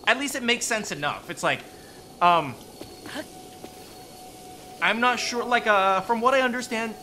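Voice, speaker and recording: A man's voice: sits high, pushed and bright, a little hiss or hum. A man chatting casually about game lore, rambling with many restarts and frequent tag questions. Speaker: streamer discussing game lore with chat. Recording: faint music behind.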